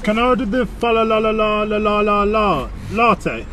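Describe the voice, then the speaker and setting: A man's voice: high voice, moderate pace, sharp tone. A young man talking incredulously and casually in a car. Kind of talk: casual chat, ordering at a drive-through. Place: inside a car at a drive-through. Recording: noisy car, street ambience.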